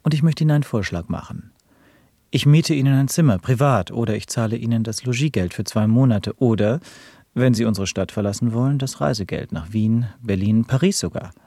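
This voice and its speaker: An actor playing a smug, wealthy, worldly dandy in a radio drama, suave and condescending. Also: male voice, medium pitch, brisk, mellow, no room sound, studio quality, natural voice. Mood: persuasive.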